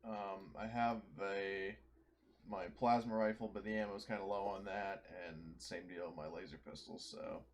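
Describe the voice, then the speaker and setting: A man with a lowish voice chats as a player in a tabletop game. His delivery is casual and conversational. Faint noise sits behind him. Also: fairly even intonation, normal speed.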